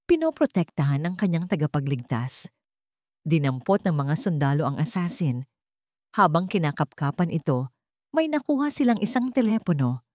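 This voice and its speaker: A woman with a Filipino accent narrating a scripted dramatic story in a measured, theatrical way, phrase by phrase. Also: low-pitched, big pitch swings, darkish tone, dry recording, studio quality.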